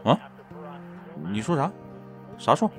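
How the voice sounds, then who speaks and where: An actor playing a brash, hot-headed male high-school student in a modern audio drama, slangy and blustering. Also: very animated, takes it slowly, a bit bright, faint music behind, exaggerated voice. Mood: indignant teasing.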